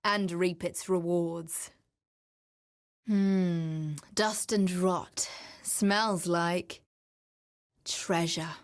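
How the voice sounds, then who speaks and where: A woman's voice: on the low side, steady tone, extremely slow, pauses often. An actress playing an adventurous, greedy woman treasure hunter in a video game, confident, wry and theatrical. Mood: eager, intrigued. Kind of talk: video game character voice lines.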